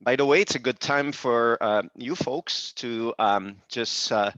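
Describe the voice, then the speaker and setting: A French-speaking man from Quebec hosting a webinar in English with a Quebec French accent, pausing often and restarting his sentences. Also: mid-range voice, a bit bright, dry recording. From Quebec, Canada. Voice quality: frequent hesitations and restarts in a second language. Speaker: webinar host and presenter. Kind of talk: hesitant presentation. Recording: dull dry video call audio.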